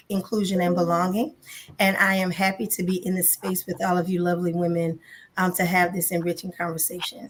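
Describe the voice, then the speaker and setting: A woman executive introduces herself on a video panel in an even, polite tone. Her pitch stays flat and steady throughout. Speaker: DEI executive.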